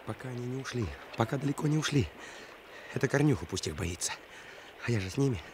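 An actor playing a defiant, jittery young thief in a radio drama, stubborn and streetwise. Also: male voice, medium pitch, lively intonation, fast talker, background noise, close to an everyday voice. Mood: anxious resolve.

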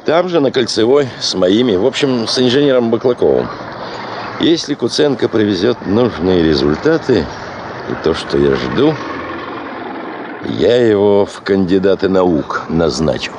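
An actor playing a domineering, driven chief of a prospecting expedition in a radio play, self-assured and musing. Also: male voice, fairly low pitch, lively intonation, a little slow, noisy background. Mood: firm. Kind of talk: Soviet radio play acting.

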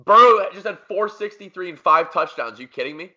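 Man, bright forceful voice, emphatic sports debater, podcast co-host, dull lo-fi sound. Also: high-pitched, lively intonation, a little fast. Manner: emphatic, argumentative, repeats points for force.

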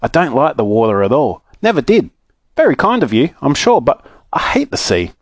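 A man voicing an excitable, muddled old man who mishears and gets easily vexed, in a children's story. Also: medium pitch, normal speed, dry recording. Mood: befuddled.

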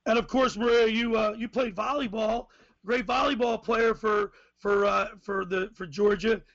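A man hosting a sports talk radio show, with a raspy voice and a folksy, rambling way of asking questions. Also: high-pitched, steady tone, normal speed, a bit bright, no echo, lo-fi sound.

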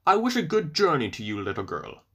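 A man's voice: fairly high pitch, wildly swooping pitch, a little fast, bright, forceful tone, slightly rough, full character voice. A man voicing a big guard dog in a storybook, grateful and frank. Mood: kindly.

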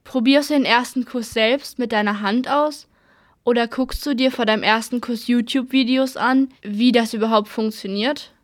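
A woman performs a reflective radio-play monologue, musing aloud through a chain of questions. Her voice sits slightly high. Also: fairly even intonation, moderate pace.

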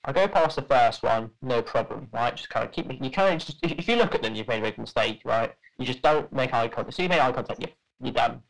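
A man's voice: mid-range voice, quick pace, creaky, low-quality recording. A young man telling a story in casual podcast chat, rambling with lots of fillers and restarts.

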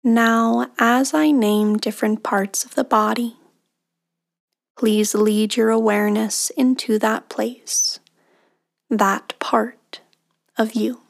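A woman leading a guided meditation in a hushed, breathy, soothing delivery with long pauses between phrases. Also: on the high side, steady tone. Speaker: meditation guide, podcast host.

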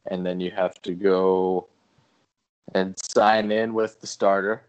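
Deep-voiced Canadian-raised man, guest on a video call; easygoing storyteller who laughs a lot. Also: dull audio. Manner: relaxed storytelling, laughs often. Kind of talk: casual chat. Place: home in rural Japan.

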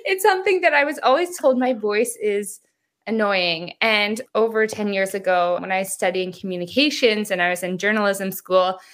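A woman in her thirties, a hypnotherapist, talking as a podcast guest, chatty and upbeat, with a soft, soothing voice and frequent laughs. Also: mid-range voice, normal speed. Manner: talkative, upbeat, laughs often. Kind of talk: casual interview chat.

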